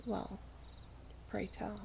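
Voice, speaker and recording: Woman as a slow, half-whispering ageless elven maiden. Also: background noise. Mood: teasing, curious. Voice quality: soft, breathy, half-whispered. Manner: archaic, unhurried, gently condescending. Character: ageless elven maiden, gently teasing, wistful, otherworldly. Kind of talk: ASMR roleplay, slow intimate storytelling.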